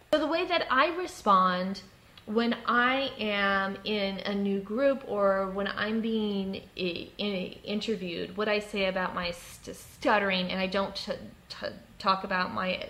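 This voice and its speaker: A woman of medium pitch gives an explanatory talk with personal examples. She stutters markedly, catching on and repeating first sounds. Her delivery is slow, deliberate and composed. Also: sharp tone. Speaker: presenter giving workplace advice.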